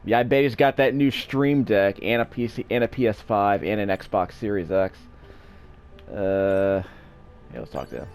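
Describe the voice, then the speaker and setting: A man's voice: fairly low pitch, normal speed, darkish tone, clear, resonant tone. A man giving casual, offhand game commentary, wryly thinking aloud as he plays. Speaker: let's play commentator.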